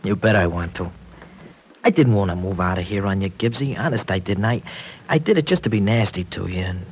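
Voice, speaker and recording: An actor playing a rough, sheepish young American man eager to improve, with slangy, uneducated speech, in old radio soap style. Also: sits low, fairly quick, darkish tone. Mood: sheepish, earnest. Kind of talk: 1940s radio soap opera acting. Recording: noisy old radio drama recording.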